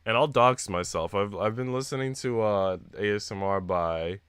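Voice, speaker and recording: A man's voice: fairly low pitch, normal speed, fairly bright tone, clear, resonant tone, dry recording, studio quality. A man with a congested, sick voice livestreaming a visual novel, switching between reading narration aloud and slangy, rambling casual chat. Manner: informal, slangy, rambling asides. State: congested with a cold. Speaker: live streamer playing a visual novel.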